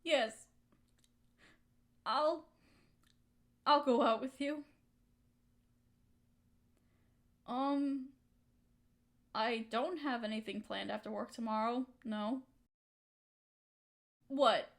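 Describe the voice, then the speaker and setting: A woman acting a high-voiced, emotional young woman. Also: slow, long pauses. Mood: cautious, tender. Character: hurt young woman softening toward her best friend and crush. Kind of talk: intimate roleplay audio drama monologue.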